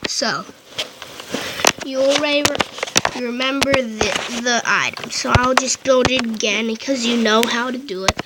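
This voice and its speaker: A woman narrating a casual game tutorial into a headphone mic, often stopping to correct herself. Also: on the high side. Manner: halting, frequent self-corrections and restarts. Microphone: headphones with built-in mic. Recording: loud background noise on headset mic.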